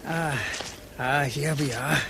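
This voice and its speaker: Gentle, inquisitive British priest-sleuth, high-pitched, polite, hesitant musing, radio play with noisy background. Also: male voice, steady tone, takes it slowly, creaky. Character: gentle, inquisitive, mild-mannered English priest-detective, male. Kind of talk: British radio drama acting.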